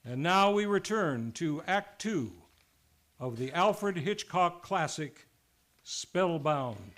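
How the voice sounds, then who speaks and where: A man's voice: a little high, lively intonation, slow pace, a bit bright. A man working as a radio show announcer, reading scripted promotion with a showy, upbeat delivery. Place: radio studio, live performance.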